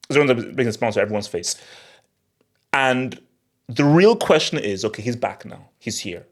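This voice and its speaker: A man hosting a football channel, talking to the audience in an emphatic, theatrical way and repeating phrases for effect. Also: mid-range voice. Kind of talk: animated solo football commentary to camera.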